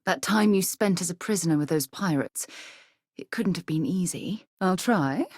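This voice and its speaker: A composed British woman explorer from a sci-fi video game. Her voice sits on the low side and is recorded very dry, with no room around it. She speaks in an articulate, measured way, earnest and caring. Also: darkish tone, breathy edge, dry recording. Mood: tender, concerned, then wry. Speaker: video game companion character. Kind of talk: acted video game dialogue.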